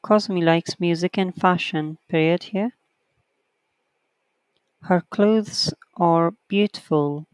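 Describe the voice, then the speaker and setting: A writing teacher giving an online lesson, reading textbook sentences aloud slowly and counting the corrections one by one. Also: medium pitch, clean tone, no room sound, low-quality recording.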